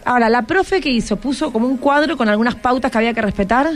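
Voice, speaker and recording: A woman's voice: fairly high pitch, quick pace. A woman with a Rioplatense Argentine accent, hosting a conversational interview and prompting with frequent check questions. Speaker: radio host interviewing schoolchildren.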